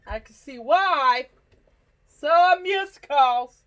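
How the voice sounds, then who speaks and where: A woman's voice: high voice, lively intonation, extremely slow, fairly bright tone. A young woman with a congested, stuffy nose, chatting casually and teasing on a podcast. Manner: teasing, laughs often. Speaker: podcast co-host. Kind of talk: casual chat.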